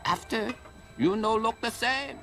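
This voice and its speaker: An actor playing a jolly, eccentric older underground surgeon in a video game, speaking clipped broken English. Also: male voice, very high voice, measured pace, over music. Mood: wry, cheerful.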